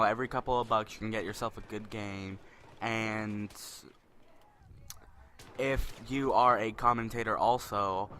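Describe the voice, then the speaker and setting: A young man with a deep voice giving rambling, spontaneous commentary over gameplay, a little self-conscious. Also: pushed and bright, a little hiss or hum.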